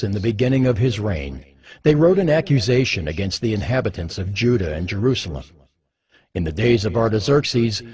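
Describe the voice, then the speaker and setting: A man narrating scripture as a scripted dramatized reading, solemn and expressive in his storytelling. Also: fairly low pitch. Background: music.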